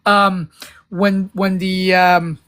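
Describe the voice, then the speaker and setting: A man's voice: sits high, little pitch movement, drawn-out words, pushed and bright. A man making a political argument on a live panel, talking in a rambling way with many stumbles and restarts, now and then emphatic. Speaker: panel speaker. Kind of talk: casual political discussion.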